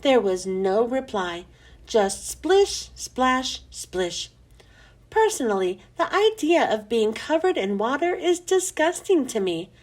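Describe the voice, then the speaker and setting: A woman narrates a children's story in a high voice. Her pitch rises and falls widely, and she leans on and draws out key words for young listeners. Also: very animated, slow. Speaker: children's book reader. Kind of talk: expressive scripted storybook narration.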